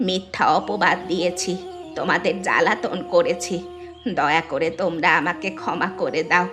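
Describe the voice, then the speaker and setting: A woman's voice: fairly low pitch, normal speed, over music, natural voice. A woman playing an ageing mother-in-law, bossy yet remorseful, in a plaintive cartoon style. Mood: remorseful pleading. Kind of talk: Bengali cartoon voice acting.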